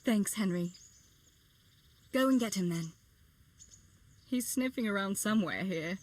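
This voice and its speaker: A woman playing a caring, practical young village woman in a medieval video game, speaking gently and matter-of-factly with light teasing. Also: mid-range voice, lively intonation, measured pace, natural voice. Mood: warm, coaxing. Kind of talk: video game cutscene acting, natural dialogue.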